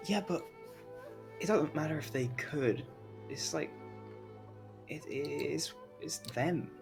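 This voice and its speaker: A man's voice: a little high, takes it slowly, vocal fry. A young man casually commentating on a game stream in a slangy, rambling, jokey way. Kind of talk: casual live game stream commentary, reading aloud and reacting. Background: game music.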